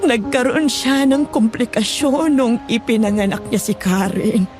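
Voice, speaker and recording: A woman's voice: a little high, unhurried, a little mellow, natural voice. A woman playing a remorseful, fearful middle-aged aunt in a dramatized horror story, apologetic and pleading. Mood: tearful confession. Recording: music bed underneath.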